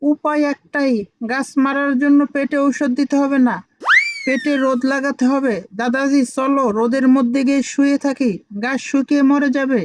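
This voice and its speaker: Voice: high voice, level tone, moderate pace, background noise, lightly acted. An actor voicing a cheeky, confident five-year-old boy who plays doctor in a comic village cartoon. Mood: cocky. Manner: confident, know-it-all.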